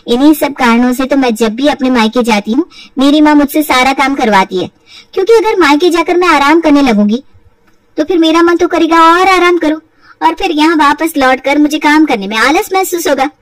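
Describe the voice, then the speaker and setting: A woman acts a young daughter-in-law in a cartoon family story. She has a high voice and a polite, sly, insinuating manner. The sound is dry and slightly off the microphone. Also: normal speed, no room sound, far from the mic, natural voice, poor audio. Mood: sweetly pointed. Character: shrewd young daughter-in-law, sweetly sly, weary of taunts. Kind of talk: acted Hindi moral story for cartoon.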